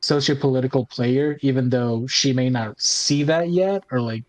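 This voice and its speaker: A man's voice: mid-range voice, normal speed. A man chatting informally as a podcast co-host, thinking aloud as he goes. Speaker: podcast host and tabletop player. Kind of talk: casual chat.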